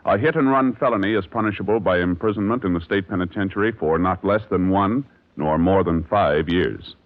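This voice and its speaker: Old-time american radio announcer guy, super low, solemn, muffled narrow sound. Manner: solemn, measured, official. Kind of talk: formal 1950s radio announcing, scripted. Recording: muffled, narrow-band vintage radio recording.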